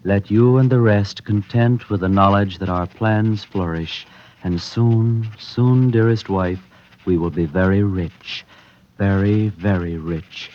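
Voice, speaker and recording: A male actor playing a devoted husband reading out a persuasive letter to his wife, measured, earnest and formal, in an old-time radio drama. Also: on the low side, slight background noise. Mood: fond, persuasive. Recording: muffled old radio recording.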